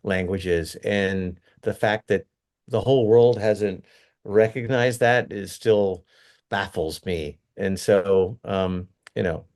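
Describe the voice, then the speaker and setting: A man's voice: lowish voice, a little mellow. A middle-aged man, a company executive, giving earnest, rambling interview answers full of restarts and fillers.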